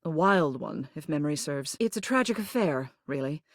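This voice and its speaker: Pompous older lady mayor game character, super theatrical, pitch swoops around, formal and self-important, dry studio sound. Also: lively intonation, normal speed, no room sound. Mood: solemn, regretful. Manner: pompous, grand, formal, with affected endearments. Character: haughty, theatrical older lady mayor, a living household object. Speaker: voice actor in a video game. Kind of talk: scripted video game character lines.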